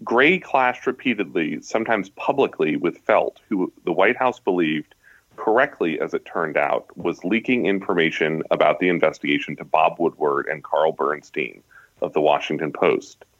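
Low-pitched male voice, American accent, author reading nonfiction aloud, dry close sound, slightly dull audio. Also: moderate pace, fairly bright tone, no room sound. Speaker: guest author and journalist.

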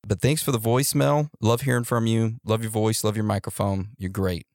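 A man's voice: lowish voice, steady tone, fairly quick, no room sound, studio quality. A young man hosting a casual podcast, rambling and laughing easily as he answers listener messages. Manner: warm, rambling, laughs often. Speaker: podcast host.

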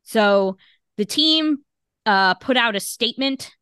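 A woman co-hosting a casual podcast, talking in a halting, measured way with many fillers and restarts. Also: on the high side, lively intonation, bright, forceful tone, clean tone, dry recording, studio quality. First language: English. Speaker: podcast co-host.

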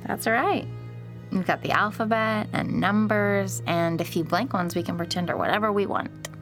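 A woman playing a kind but uneasy young boy's mother, speaking gently and hesitantly in an audio drama. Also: mid-range voice, moderate pace, soft background music, natural voice. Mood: tender. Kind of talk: naturalistic audio drama acting.

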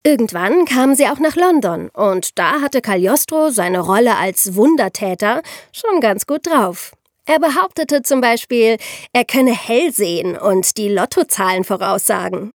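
A woman's voice: on the high side, lively intonation, a little slow, no room sound, studio quality. A woman co-hosting a podcast, telling history in a conversational, explanatory way with informal asides. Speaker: podcast co-host.

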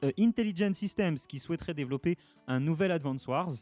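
A man's voice: a little high, lively intonation, darkish tone, no room sound, soft background music. A man hosting a casual video game news chat, handing off segments and joking with his co-hosts. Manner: hands off segments, quick jokey interjections. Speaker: podcast host.

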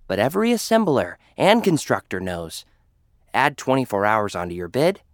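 A man's voice: medium pitch, very animated, normal speed, no room sound. A man narrating a first-person audio drama in a dry, sardonic, arrogant manner. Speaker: narrator and lead character.

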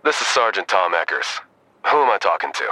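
An actor playing a weary, procedural police sergeant, measured and matter-of-fact, in an audio drama. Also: male voice, low-pitched, very animated, over music, rough recording. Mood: businesslike. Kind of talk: audio drama acting, phone dialogue.

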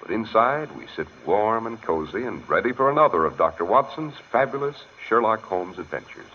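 Old-time radio announcer guy, lowish voice, really lively delivery, muffled vintage recording. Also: moderate pace.

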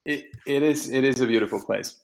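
A man's voice: medium pitch, a little fast, creaky, a little hiss or hum. A man in his forties from Austria, a guest answering interview questions over a teleconference, hesitant with many fillers and restarts. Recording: teleconference call audio.

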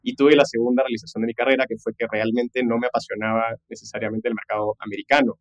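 Fast-talking man, interview guest. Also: medium pitch, level tone, no echo. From Peru. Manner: peppers speech with English business jargon and tag questions. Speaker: podcast guest, tech entrepreneur. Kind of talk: conversational career storytelling in an interview.

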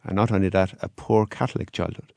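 An Irish man, a guest in an interview, answering in a relaxed, anecdotal way. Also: sits low, fast, vocal fry, no echo. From Ireland.

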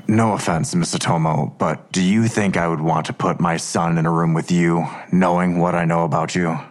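A man's voice: low voice, steady tone, normal speed, close to an everyday voice. An actor playing a somber, guarded police detective and worried father in an audio drama, speaking tersely. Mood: curt, distrustful.

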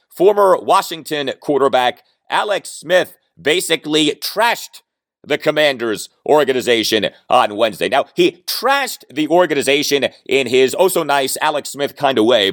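Animated male sports podcast host. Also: medium pitch, no room sound, clean studio sound. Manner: animated, emphatic delivery with frequent "uh" fillers. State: recovering from lost voice. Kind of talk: solo sports talk podcast.

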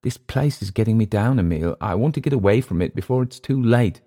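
A man's voice: fairly low pitch, expressive, fast talker, dark tone, voice pushed up. A man voicing a bitter, volatile, drink-sodden Englishman in a literary story. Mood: earnest, defiant. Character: bitter, drunken, volatile Englishman gone to seed.

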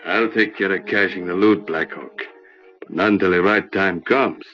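An actor playing a greedy, scheming Old West outlaw leader in an old radio western. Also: male voice, sits low, fairly even intonation, moderate pace, close to an everyday voice. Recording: muffled old radio recording with music.

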